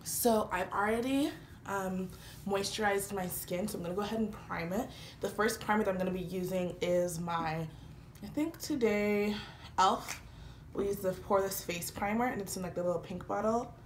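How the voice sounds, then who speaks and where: A young woman talking casually through a makeup routine, slightly congested from a cold, chatty and relaxed with frequent fillers. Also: mid-range voice, moderate pace. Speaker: beauty vlogger. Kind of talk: casual makeup tutorial talk. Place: small indoor room, patterned wall with lights. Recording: roomy echo, faint background hiss.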